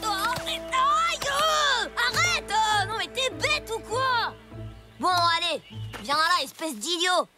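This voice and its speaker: A woman's voice: very high voice, big pitch swings, takes it slowly, full character voice. A woman voicing a bossy, boastful, mocking young boy in a cartoon dub. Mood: alarmed. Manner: taunting, boastful. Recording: loud cartoon music behind the voice.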